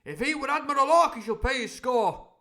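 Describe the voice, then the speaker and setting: A bellowing, domineering pirate landlord, as a man puts him on for a read-aloud storybook. The voice jumps high and loud, swinging wildly as he barks orders in salty sailor's talk. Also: expressive, normal speed. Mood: blustering. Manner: booming, blustering, sailor's speech.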